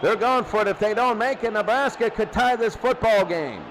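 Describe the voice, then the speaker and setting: Male football commentator, high register, excitable and conversational, noisy recording. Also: normal speed. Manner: excitable, conversational asides between plays. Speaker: play-by-play commentator of a simulated football tournament. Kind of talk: live American football radio-style commentary.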